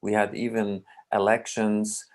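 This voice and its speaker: A man in his sixties, originally from Iraq, speaking as a film director at a remote panel, slow and halting with many fillers and restarts. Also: fairly low pitch, monotone.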